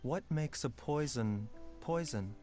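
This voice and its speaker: A man voicing a gentle, philosophical doctor in a video game, speaking in a measured, eloquent and formal way. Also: mid-range voice, animated. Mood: calm, determined. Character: gentle, philosophical male doctor, selfless yet resolute. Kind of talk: scripted video game dialogue. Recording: music behind the voice.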